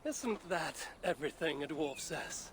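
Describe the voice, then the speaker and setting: An actor playing a proud Norse god, imperious and blunt, with formal archaic phrasing in a video game drama. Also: male voice, on the high side, lively intonation, measured pace, slight background noise. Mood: impatient. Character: proud, commanding male Norse god, lord of Asgard.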